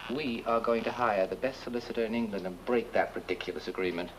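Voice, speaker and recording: An actor playing a flippant, scheming lover in a horror-comedy film, with a sardonic, theatrical delivery. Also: male voice, fairly low pitch, quick pace, close to an everyday voice. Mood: scheming, reassuring. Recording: noisy old film soundtrack.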